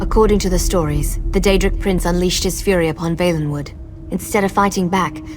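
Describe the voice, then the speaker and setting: A woman plays a weary clanswoman of an ancient forest people in a fantasy game. She speaks in a lowish voice, earnest and somber. Music is clearly heard behind her. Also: lightly acted. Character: grave, weary young-sounding female forest clan outsider-watcher, ancient lineage. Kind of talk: dramatic video game acting.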